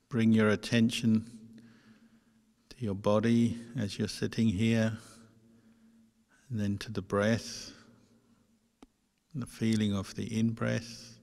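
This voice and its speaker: A man leading a guided meditation as a monk, speaking gently in short phrases with long, unhurried pauses. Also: fairly low pitch, gravelly. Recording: long hall-like reverb.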